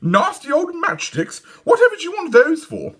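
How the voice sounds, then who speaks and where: A prissy little girl from a children's storybook, acted out by a man, speaks in a high, bright squeal. The delivery gushes and turns disdainful. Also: wildly swooping pitch, moderate pace, full character voice. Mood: disgusted. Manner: gushing, disdainful.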